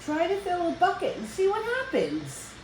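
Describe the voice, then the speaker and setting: An older woman reading a children's picture book aloud as a storyteller, with expressive, deliberate phrasing for young listeners. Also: very high-pitched. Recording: roomy echo with background noise.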